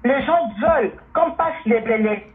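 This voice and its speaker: A woman's voice: high-pitched, measured pace. A woman who grew up speaking Portuguese, calling into a talk show and speaking emphatically, repeating herself and exclaiming hallelujah. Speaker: caller. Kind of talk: opinionated phone-in talk. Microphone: phone line. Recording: tinny phone line, faint noise.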